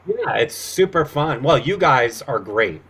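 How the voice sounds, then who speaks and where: A man, a voice actor guest, chatting casually in a loose, halting, easygoing way. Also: medium pitch, sing-song, fairly bright tone, breathy edge. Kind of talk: casual chat.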